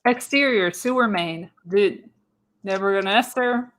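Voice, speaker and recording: A woman with a mid-pitched voice narrates a radio play. She reads screenplay directions aloud as scripted narration, now and then stumbling over a word. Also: measured pace, fairly bright tone, a little hiss or hum.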